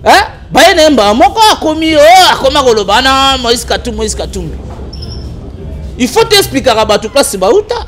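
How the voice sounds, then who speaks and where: A man with a Congolese accent, an animated and argumentative political commentator on a talk show. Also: extremely high pitch, normal speed, soft background music. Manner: animated, argumentative, mixes French and Lingala.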